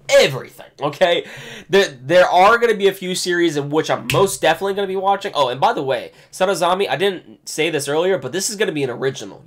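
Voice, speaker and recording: A man chatting casually on a live stream, reading text aloud with lots of fillers and restarts, his voice going hoarse. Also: a little high, a bit bright. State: losing his voice. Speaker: anime streamer.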